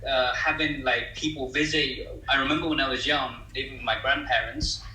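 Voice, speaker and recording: A man's voice: medium pitch, steady tone, moderate pace, sharp tone, roomy sound, low-quality recording. A man born in China and raised in the UK, an agency founder chatting as a panel guest in a friendly way with many fillers. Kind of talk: casual panel chat.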